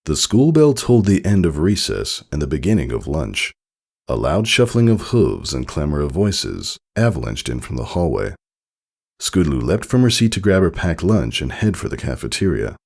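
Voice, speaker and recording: Male story narrator, deep and fry-laden, expressive scripted reading. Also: moderate pace. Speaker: fan fiction reader.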